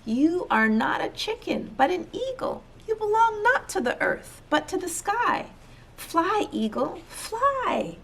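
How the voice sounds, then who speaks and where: A man's voice: extremely high pitch, very animated, slow, vocal fry, a bit bright, slight background noise, pitched above the performer's own voice, heavily acted. A woman voicing an eager, insistent man in a children's storybook, urging in an earnest way. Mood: rousing, urgent. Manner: earnest, exhortative.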